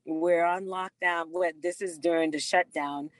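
A woman's voice: on the low side, fairly even intonation, no echo. A middle-aged woman from the Bahamas, a doctor and author, telling her life story earnestly in an interview with many fillers. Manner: slow and earnest, frequent fillers.

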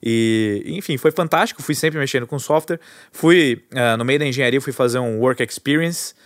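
A man from Brazil in his thirties, speaking as a podcast guest. He sounds relaxed and conversational, often restarting his sentences. His voice is recorded close up in a dry, quiet room and sits at a middle pitch. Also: normal speed, no echo. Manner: relaxed and chatty, with frequent fillers and self-correcting restarts. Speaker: guest, entrepreneur. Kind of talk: casual storytelling interview.

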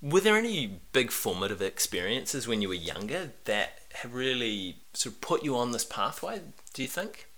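A man's voice: medium pitch, very animated, normal speed, hardly any pauses, sharp tone. A man hosting a podcast, asking questions in an easygoing, encouraging way. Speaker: podcast host. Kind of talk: casual interview chat.

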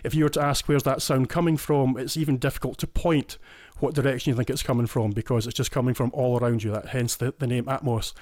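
A man's voice: medium pitch, steady tone, quick pace, darkish tone, dry recording, clean studio sound. A Scottish man talking eagerly and at length as a guest in a casual podcast chat, often restarting his sentences. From Scotland. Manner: enthusiastic, explains in detail with frequent restarts. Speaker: guest listener.

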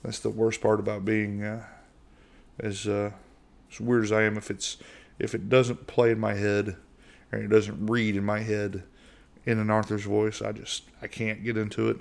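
A middle-aged man from the United States hosting a casual solo podcast in a monotone voice, rambling with lots of fillers and restarts. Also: fairly low pitch, moderate pace, creaky. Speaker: podcast host.

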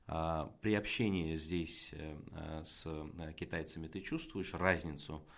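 A man conducting a conversational interview, phrasing his questions haltingly, with many pauses. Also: a bass voice, steady tone, gravelly.

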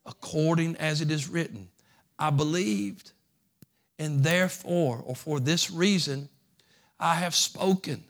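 A man preaching a sermon in a slightly high voice. He talks in a folksy, repetitive way, moving from soft conversational stretches into loud, fervent preaching. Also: takes it slowly. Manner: folksy, repeats phrases. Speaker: pastor.

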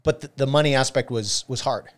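A man with an American accent hosts a relaxed conversational interview. His delivery is informal and affirming, drawing the other person out with encouragement. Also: medium pitch, brisk. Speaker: podcast interviewer.

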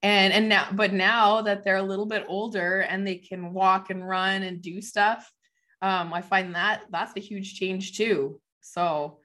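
A woman in her thirties, hoarse from a cold, co-hosting a casual chat and laughing often. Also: mid-range voice, steady tone, unhurried, sharp tone. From Canadian prairies. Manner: chatty, laughs often, many likes. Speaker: podcast co-host, farm mom.

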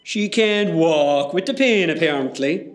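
Easygoing West Cork hospital porter, forties played by a man, high-pitched male voice, chatty and teasing, radio comedy. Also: expressive, a little slow. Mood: gossipy. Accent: West Cork Irish. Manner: bantering, chatty. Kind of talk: amateur comedy radio play acting.

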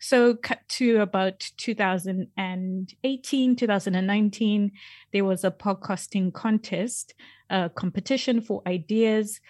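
A woman's voice: medium pitch, darkish tone, dry recording. Aussie woman podcast guest, chatty n upbeat, casual convo, really dry sound no room. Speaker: interview guest, podcast writer. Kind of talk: casual interview chat.

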